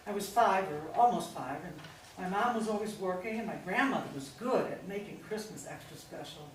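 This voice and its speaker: Heard from off in a very echoey room, a woman plays a middle-aged mother in a staged reading. She is fussy and placating, with brittle cheer and an animated, swooping voice. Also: mid-range voice, fairly quick, lightly acted. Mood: wistful. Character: fussy, nervously cheerful family mother and hostess. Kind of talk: live staged play reading. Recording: very echoey room, distant mic, hiss.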